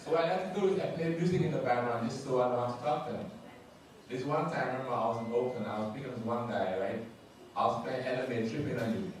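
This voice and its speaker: A young man from Ghana with a Ghanaian accent performing a stand-up comedy set, loose and laughing, jumping into quick impressions of other people. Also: mid-range voice, a little hiss or hum. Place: comedy club stage, room echoing. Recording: echoey club from far off.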